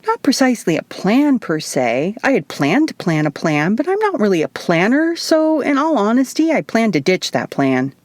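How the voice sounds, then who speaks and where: A squeaky, flighty female being from an audiobook, acted out by a woman narrator. She is bubbly and sassy, pitched high with girlish Valley Girl flippancy, in very dry sound. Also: wildly swooping pitch, moderate pace, hardly any pauses, no room sound. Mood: flustered, chatty.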